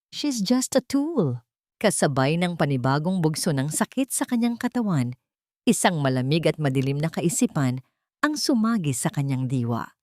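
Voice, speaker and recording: A woman storyteller with a slightly low voice, recorded close and dry. She delivers scripted romantic drama solemnly, leaning into the melodrama of each phrase. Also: a little mellow, no echo. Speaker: story narrator.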